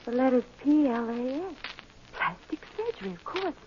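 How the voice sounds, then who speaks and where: An American actress playing an alert, inquisitive sleuth's companion in a 1940s radio drama. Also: female voice, high-pitched, expressive, moderate pace. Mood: curious. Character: alert, quick-witted female companion of a sleuth. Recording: dull, noisy 1940s radio recording.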